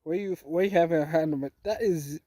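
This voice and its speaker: A man's voice: fairly high pitch, fairly quick, over a noisy crowd. A man from Zimbabwe co-hosting a casual comedic talk show outdoors, teasing with sarcastic quips. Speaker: podcast co-host. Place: outdoors in open dry field.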